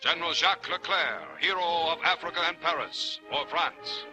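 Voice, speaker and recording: A man's voice: fairly high pitch, expressive, moderate pace. An American man narrating a 1940s newsreel in a formal, dramatic, declamatory announcing style, on an old soundtrack. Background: loud music.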